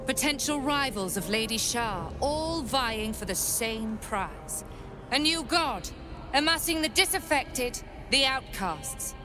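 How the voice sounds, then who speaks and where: An imperious, zealous cult matriarch from a fantasy video game, played by an actress. She speaks slowly and grandly, weighing each phrase with commanding authority, while loud music plays behind her. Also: mid-range voice, natural voice. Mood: bitter disdain. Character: imperious, zealous female cult leader, devoted to dark goddess. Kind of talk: dramatic video game voice acting.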